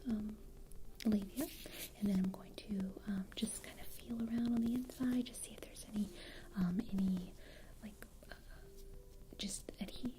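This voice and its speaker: A woman playing a gentle clinician in an ASMR medical roleplay, halting and hesitant as she explains. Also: medium pitch, steady tone, slight background noise, natural voice, low-quality recording. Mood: calm, hesitant.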